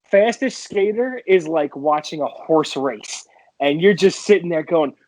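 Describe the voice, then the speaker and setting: A man co-hosting a casual sports betting podcast, talking picks in a chatty, animated way. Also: a little high, measured pace, no echo. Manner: chatty, animated, laughing banter. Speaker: podcast co-host, sports bettor. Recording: dry, dull lo-fi podcast audio.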